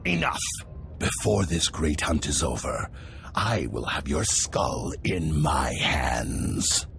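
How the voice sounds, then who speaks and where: A man's voice: very deep voice, big pitch swings, slow pace, gravelly, a bit bright, slight background noise, full character voice. An actor playing a proud, indignant male rival hunter who speaks in a pompous, outraged way.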